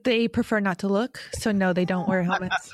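A woman's voice: mid-range voice, steady tone, moderate pace, dry recording, studio quality. Chatty self-deprecating woman podcast host. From Rochester, New York. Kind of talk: casual podcast chat.

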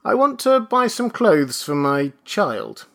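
A man's voice: medium pitch, big pitch swings, slow, sharp tone, slightly rough, heavily acted. A man voicing a horrified, anxious new father in a storybook reading, nervous, hesitant and desperate.

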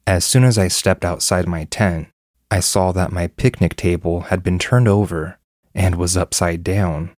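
A man's voice: sits low, fairly even intonation, a little slow, a little mellow, no echo, studio quality. A man reading a first-person horror story as scripted narration.

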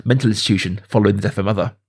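A man's voice: lowish voice, rapid-fire, slightly rough. A man hosting a podcast, talking casually about film in an emphatic way, often repeating phrases for stress. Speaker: podcast host.

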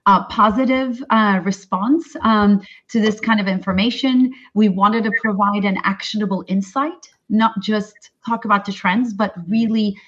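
Woman from Turkey, tech CEO, mid pitch, sincere and halting, lo-fi call sound. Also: steady tone. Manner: hesitant, many fillers, sincere. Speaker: tech company CEO, panel guest. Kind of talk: webinar panel discussion.

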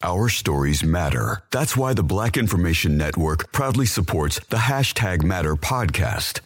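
A man reading a scripted promotional announcement in a polished, deliberate way. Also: low-pitched, slightly rough.

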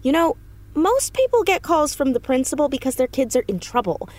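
An actress playing an earnest, stubborn fourteen-year-old girl who is quick to argue, in a naturalistic audio drama. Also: high-pitched, big pitch swings, a little mellow. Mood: insistent, breezy.

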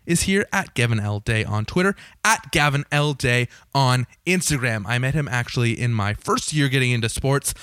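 A young man with a slightly raspy voice, hosting his podcast in a chatty, joking way and swearing casually. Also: mid-range voice, animated, moderate pace, hardly any pauses, fairly bright tone, no room sound, studio quality. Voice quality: a little raspy. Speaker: podcast host. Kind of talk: casual solo podcast intro.

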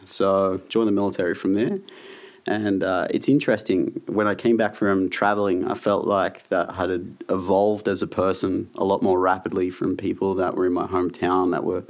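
Australian male guest, 30s, low rough voice, reflective and unhurried. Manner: relaxed, reflective storytelling. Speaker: guest, yoga teacher and veteran. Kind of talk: casual interview.

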